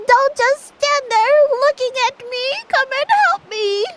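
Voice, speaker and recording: A woman voicing a rude, angry little male dwarf in a bedtime story, shouting bossily. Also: a little slow. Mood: furious, impatient. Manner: shouting, high-pitched, bossy.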